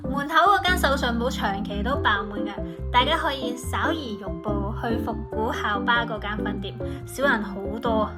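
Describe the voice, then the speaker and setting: A woman narrating a travel vlog in a brisk, chatty tour-guide tone. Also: a little high. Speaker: travel vlogger. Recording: voice-over with loud background music.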